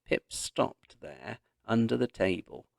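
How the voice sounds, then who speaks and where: A man narrating a children's story aloud in an expressive, dramatic storytelling manner. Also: lowish voice, takes it slowly, gravelly, no room sound, studio quality. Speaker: audiobook narrator.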